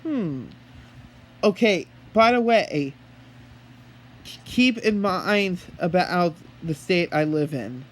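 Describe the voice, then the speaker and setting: A man talking in an earnest, halting way, as if holding a one-sided phone conversation. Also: sits high, expressive, rough recording. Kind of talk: one-sided pretend phone call, rambling monologue.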